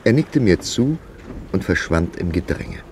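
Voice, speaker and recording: An actor playing an inquisitive literary narrator in a German radio play, speaking in a measured storytelling manner. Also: male voice, sits low, sing-song, darkish tone, a little hiss or hum. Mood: absorbed narration. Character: male literary narrator, inquisitive confidant of a young clerk.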